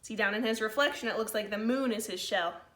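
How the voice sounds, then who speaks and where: A woman teacher reading a picture book to children in a slow, expressive way, with informal asides to her pupils. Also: mid-range voice. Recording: roomy, echoey sound.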